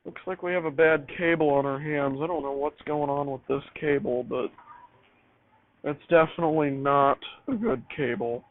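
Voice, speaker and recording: A man's voice: a little high, normal speed. Tinny, phone-like recording of a man muttering. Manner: repeats phrases, muttering to himself. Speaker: amateur vlogger showing home radio studio. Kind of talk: casual improvised talk while troubleshooting gear. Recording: tinny phone-like band, hissy background noise.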